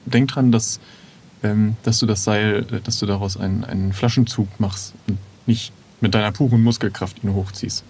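A man's voice: on the low side, steady tone, moderate pace, a little mellow, slight background noise. A man playing an improvised audio adventure, casually thinking aloud and hesitantly announcing his moves. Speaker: player in an improvised adventure game.

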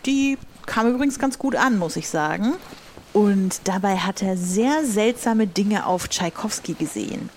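A woman's voice: mid-range voice, expressive, measured pace, a little mellow, a little hiss or hum. A woman playing a commanding pirate captain in an audio role-play adventure. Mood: commanding, mysterious.

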